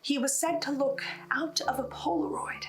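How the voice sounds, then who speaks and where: Female recap host, higher voice, scripted storytelling with personal asides, faint music underneath. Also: fairly even intonation, moderate pace. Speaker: host of a recap and theory video on a horror podcast.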